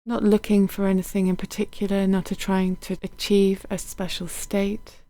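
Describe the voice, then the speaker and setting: A woman guiding a body scan meditation in a gentle, unhurried, soothing manner, letting her phrases end softly. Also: medium pitch, flat delivery, no room sound. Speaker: meditation guide.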